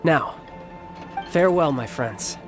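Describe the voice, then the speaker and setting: A man playing a confident young warrior and fond elder brother in a video game, talking in a smooth, courteous, easygoing way. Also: on the high side, expressive, takes it slowly, over music. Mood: confident, friendly. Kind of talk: video game character dialogue.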